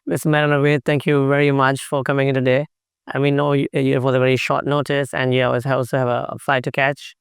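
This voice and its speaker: A man hosting an interview, asking halting, formal questions with many pauses. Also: mid-range voice, little pitch movement, creaky, clean tone, no echo, clean studio sound. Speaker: podcast host, interviewer. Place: campus recording room.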